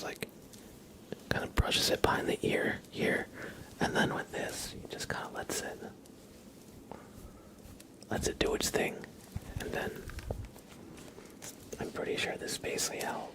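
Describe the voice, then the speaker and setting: Soft-spoken young man, often whispering. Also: low-quality recording. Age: young adult. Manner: relaxed, halting, often dropping into whispers. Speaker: ASMR livestreamer chatting with viewers. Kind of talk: soft-spoken ASMR casual chat.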